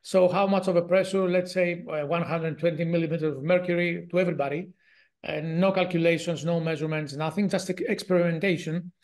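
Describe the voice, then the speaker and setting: A man in his forties speaks English with a strong Greek accent, stopping often on fillers and restarting his sentences. He is heard over a video call from a home office, and his voice sits fairly high for a man. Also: steady tone, fairly quick, a little mellow. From Greece. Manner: hesitant, many fillers and restarts. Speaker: guest researcher. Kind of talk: casual interview talk.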